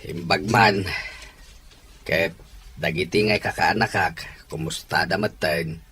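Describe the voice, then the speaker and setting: A man's voice: low-pitched, slow, sharp tone, slight background noise, full character voice. A man playing a middle-aged father in a radio drama who lectures his daughter firmly and earnestly. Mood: friendly. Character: stern but caring middle-aged father Primo.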